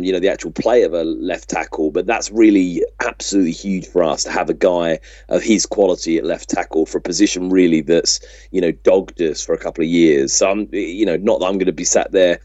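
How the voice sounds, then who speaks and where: Low, mellow British man, slightly muffled. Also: no room sound. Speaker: podcast host. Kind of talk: casual sports chat.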